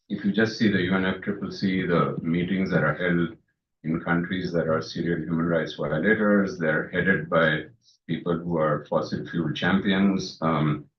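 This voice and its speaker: A man's voice: deep voice, a little hiss or hum. A man from India, a scholar activist, making a direct, critical comment from the floor of a panel. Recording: echoey hall from far off, dull.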